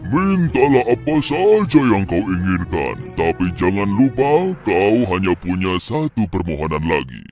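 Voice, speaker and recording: A huge giant spirit from a storybook, deep and booming. He speaks slowly and solemnly, with faint music underneath. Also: sing-song, darkish tone. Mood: solemn warning. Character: huge giant spirit of a magic ring, imposing.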